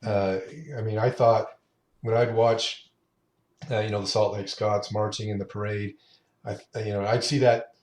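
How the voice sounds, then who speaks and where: A man in his late fifties, the guest on a podcast, speaks in a lowish voice. He talks in an unhurried, halting way, often restarting his sentences, and the room around him rings with a noticeable echo. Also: little pitch movement, darkish tone. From Utah. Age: 50s. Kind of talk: casual interview chat. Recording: roomy echo.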